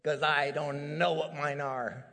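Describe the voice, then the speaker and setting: A middle-aged man preaching a sermon as a pastor, animated and building to emphatic peaks. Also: a little high, a little slow. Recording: long hall reverb.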